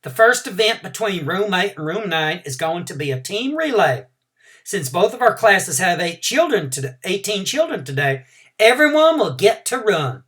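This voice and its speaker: A woman voicing a patient kindergarten teacher who explains the rules clearly and carefully. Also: mid-range voice, very animated, normal speed, a bit bright, far from the mic, voice pushed up.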